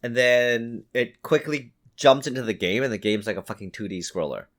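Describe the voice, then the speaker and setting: A man's voice: mid-range voice, normal speed. A man co-hosting a podcast, arguing casually and insistently, repeating himself for emphasis and swearing freely. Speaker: podcast co-host. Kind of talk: casual argumentative podcast chat.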